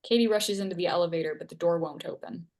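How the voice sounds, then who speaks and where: A woman with a somewhat low voice reads stage directions aloud. It is scripted narration for a live table read, describing each action and scene heading in turn. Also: quick pace, a little mellow.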